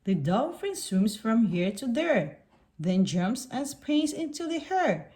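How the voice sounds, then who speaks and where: A woman reading a picture book aloud to young children in an expressive way, putting on animal sounds. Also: fairly high pitch, slow.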